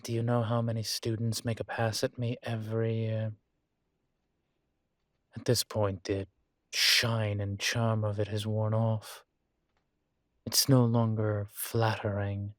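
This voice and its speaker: A man playing a weary incubus college professor, gruff but fond, in an intimate scripted audio roleplay, speaking haltingly with sighs. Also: fairly low pitch, completely flat delivery, no room sound, studio quality. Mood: weary, candid. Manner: slow, halting, sighing, candid.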